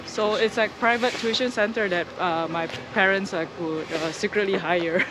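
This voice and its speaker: A woman's voice: mid-range voice, normal speed, noisy background. A young adult woman from Indonesia, a guest in a casual interview, speaking hesitantly with many fillers and restarts.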